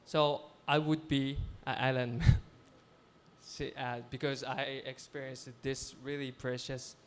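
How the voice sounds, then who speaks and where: A man's voice: medium pitch, little pitch movement, takes it slowly, a little hiss or hum. A man closing a symposium presentation, searching for words and restarting phrases.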